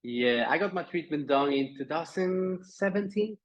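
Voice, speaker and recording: A man's voice: a little high, expressive, moderate pace. A Spanish man with a Spanish accent, a guest on a podcast, talking casually and hesitantly as he searches for words. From Spain, Andalusia. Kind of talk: casual interview chat. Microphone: remote call.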